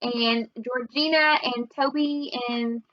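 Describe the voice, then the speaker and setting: Female storybook reader, lightly high voice, narrating for children on a close, slightly muffled recording. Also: steady tone, slow, no echo. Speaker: read-aloud reader.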